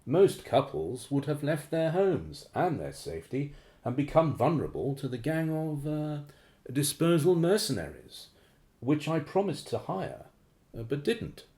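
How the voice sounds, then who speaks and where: A man's voice: on the high side, sing-song, unhurried, warm tone. An actor playing a formal, hesitant, uneasy man, a secretive operative who has turned on his organization, in a radio drama. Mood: confessional, uneasy. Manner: hesitant, formal, well-spoken.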